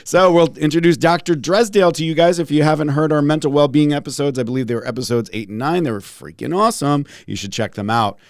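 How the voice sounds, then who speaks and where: A man hosting a podcast in a casual, jokey, self-deprecating way, his voice slightly raspy and congested. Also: a little high, fast talker, hardly any pauses, no echo, clean studio sound. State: congested from a respiratory illness. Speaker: podcast host, holistic pharmacist.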